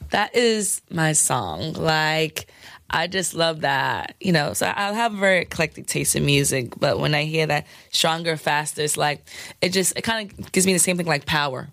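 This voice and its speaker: A woman DJ with a scratchy, hoarse voice, chatting frankly in an interview with lots of fillers and laughs. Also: low voice, expressive, normal speed, fairly bright tone, no room sound, studio quality. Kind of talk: candid casual interview talk.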